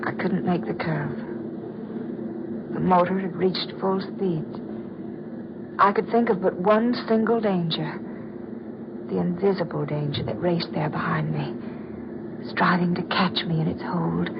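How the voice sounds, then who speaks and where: An actress playing a troubled soprano star haunted by dread, in theatrical old-time radio horror style with lingering dramatic pauses. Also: female voice, lowish voice, creaky. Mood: mounting dread. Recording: dull, very noisy vintage radio recording.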